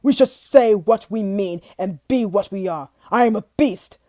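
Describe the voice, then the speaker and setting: A woman voicing a huge clawed male beast in a storybook, growling and roaring with a dry, dark sound. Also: on the low side, expressive, takes it slowly, gravelly, husky, no room sound, full character voice.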